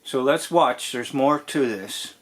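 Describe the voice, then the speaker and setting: A man giving informal commentary on a TV episode as host, in a deliberate, drawn-out way that dwells on key phrases. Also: medium pitch.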